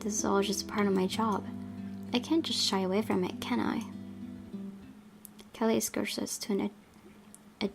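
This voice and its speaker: A woman's voice: medium pitch, vocal fry. A woman hosting a gaming channel, reading a visual novel's narration aloud with occasional asides.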